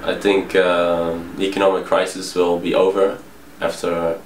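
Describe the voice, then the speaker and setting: A man, answering interview questions with many pauses. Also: low-pitched, flat delivery. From Tilburg, Netherlands. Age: 20s. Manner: hesitant, full of pauses. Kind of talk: casual street interview answers. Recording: echoey, noisy street spot.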